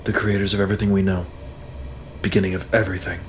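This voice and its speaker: A low male voice with little pitch movement acted by a man, a weary soldier in a science-fiction audio drama. He is guarded and terse, speaking in a subdued way. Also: little pitch movement, fast talker, slight background noise, close to an everyday voice, low-quality recording.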